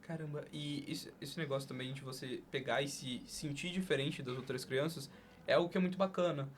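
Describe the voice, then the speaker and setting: Higher-voiced young Brazilian man hosting. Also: steady tone, a little fast, slight background noise. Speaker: podcast host. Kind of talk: casual, slangy podcast talk.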